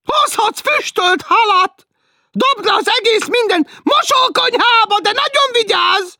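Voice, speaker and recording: A man voicing a shrill, nagging woman who shouts with a drawn-out voice from far below. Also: lively intonation, no echo, clean studio sound, full character voice.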